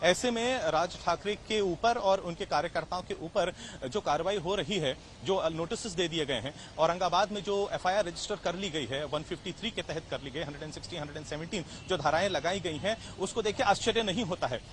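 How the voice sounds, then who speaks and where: A man gives a live field report in a high-pitched voice. He hesitates as he starts and mixes in English words. Faint urban outdoor noise sits behind him. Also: a little fast, hardly any pauses. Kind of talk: live unscripted news report.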